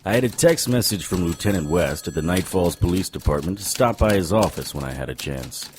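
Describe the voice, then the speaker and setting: An actor playing a wry, hard-boiled supernatural private detective in an audio drama, deadpan and sarcastic with a raspy voice. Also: male voice, sits low, a little fast, background noise. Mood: wry, brooding. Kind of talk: audio drama dialogue and noir narration.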